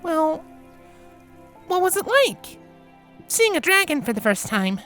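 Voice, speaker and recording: A man voicing a young female alicorn pony in a story reading, gentle, hesitant and a little embarrassed. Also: very high voice, sing-song, takes it slowly, soft background music, pitched above the performer's own voice, heavily acted. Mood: gentle, coaxing.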